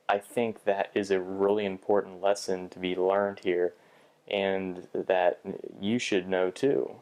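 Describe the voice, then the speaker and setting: Low, flat-voiced young man reflecting. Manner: hesitant, many restarts and fillers. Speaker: vlogger. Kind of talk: reflective personal vlog.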